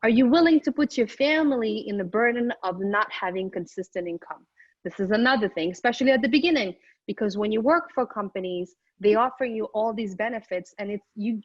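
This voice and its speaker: A woman's voice: medium pitch, animated, a little fast, no room sound, lo-fi sound. A middle-aged woman from Morocco giving an upbeat, chatty business presentation remotely, with frequent ums and restarts. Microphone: remote call microphone.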